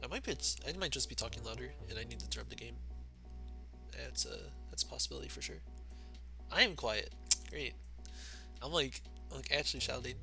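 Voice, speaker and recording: A young man commentates a fighting game tournament on a live stream, his voice soft, low and quiet even at full mic volume. He talks in a relaxed, easygoing way and chuckles often between remarks. Game music plays clearly behind him. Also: fairly quick, long pauses. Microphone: desk mic turned to max. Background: game music playing.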